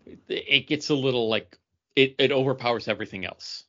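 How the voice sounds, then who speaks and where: An American man talks in a bright, forceful voice through slightly muffled, lo-fi audio. He is a podcast co-host chatting casually. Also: medium pitch, a little fast. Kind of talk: casual chat. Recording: dull lo-fi voice recording.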